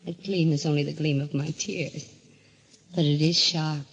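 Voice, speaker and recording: An actress playing an anguished, deranged and vengeful wife in a theatrical, taunting 1940s radio drama manner. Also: female voice, low voice, steady tone, normal speed, slightly rough, muffled recording. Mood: accusing, wounded.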